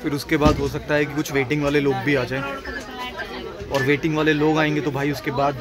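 Higher-pitched man vlogging amid crowds. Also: level tone, normal speed. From Najafgarh, Delhi, India. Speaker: travel vlogger. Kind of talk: casual vlog narration to camera. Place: railway stations and inside a crowded train. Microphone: handheld camera at arm's length. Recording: busy crowd noise around the voice.

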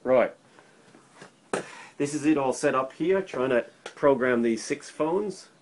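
A man's voice: medium pitch, slow, background noise. A man talking as an electrician through an informal how-to demonstration, conversational, repeating himself and often checking in with a short "right?". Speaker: electrician demonstrating intercom setup. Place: indoors at a work table. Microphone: handheld camera held by another person, a short way off.

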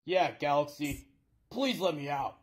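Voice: mid-range voice, animated, measured pace, lightly acted. An actor voicing a friendly, chatty member of a cartoon band. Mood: subdued.

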